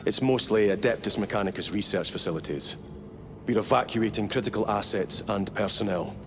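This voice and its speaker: An actor playing a stern male warrior captain who gives blunt, measured orders in a game. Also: lowish voice.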